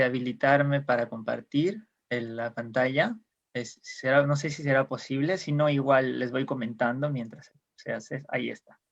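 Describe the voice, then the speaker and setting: A man's voice: mid-range voice, vocal fry, highs cut off. A man from Peru, a guest explaining ideas in casual conversation, hesitant with many fillers and restarts, heard over a video call.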